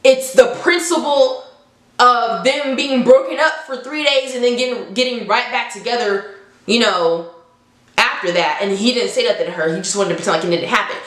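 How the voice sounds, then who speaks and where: A woman's voice: a little high, moderate pace, a bit bright. A young adult woman telling a story to viewers in a casual, slangy, conversational way, dramatically re-enacting what people said. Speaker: storytime vlogger. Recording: echoey room.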